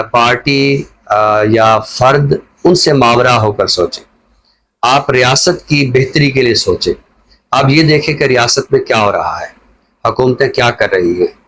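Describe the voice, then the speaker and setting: A middle-aged man with a Pakistani accent, giving reflective talk show commentary in a measured, explanatory way like a teacher. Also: medium pitch, fairly bright tone, a little hiss or hum, poor audio.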